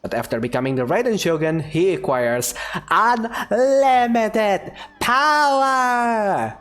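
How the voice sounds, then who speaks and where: A game streamer reading game text aloud expressively, with casual commentary in between. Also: medium pitch. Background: faint music.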